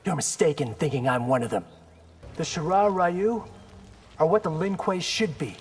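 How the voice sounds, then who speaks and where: A man's voice: a little high, normal speed, slightly rough. A man playing a defiant young martial artist hero in a video game, dry and sarcastic.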